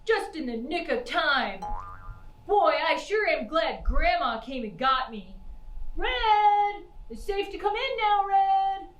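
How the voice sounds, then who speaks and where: A woman's voice: extremely high pitch, sing-song, slow, full character voice. A woman as a hearty storybook dad, far-off. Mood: heroic. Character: hearty, heroic dad rescuing the day. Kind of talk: children's puppet show. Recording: roomy echo, distant mic, faint music.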